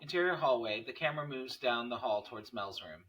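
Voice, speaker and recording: A man's voice: medium pitch, expressive, fairly quick, fairly bright tone, rough recording. A man narrating a screenplay, reading out scene headings and stage directions as a scripted narration.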